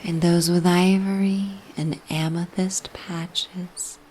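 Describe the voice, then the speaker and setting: A woman narrating a bedtime sleep story in a soft, breathy, half-whispered, soothing ASMR manner. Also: lowish voice, level tone, slow pace, a little hiss or hum, low-quality recording.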